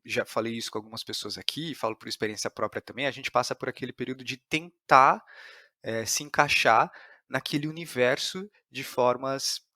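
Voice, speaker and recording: A man's voice: mid-range voice, fairly quick, sharp tone, no room sound, clean studio sound. A man with a Brazilian accent hosting an interview in casual, reflective talk, tentatively rephrasing as he thinks aloud. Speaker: podcast host interviewer.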